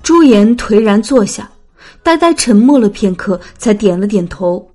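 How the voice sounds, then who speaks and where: A woman's voice: mid-range voice, animated, a little slow, mellow. A woman reading scripted, dramatic narration as an audiobook narrator.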